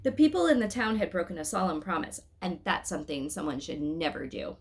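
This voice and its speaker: A woman's voice: on the low side, expressive, fairly quick, creaky. A woman reading the part of an earnest, dreamy young boy in a storybook.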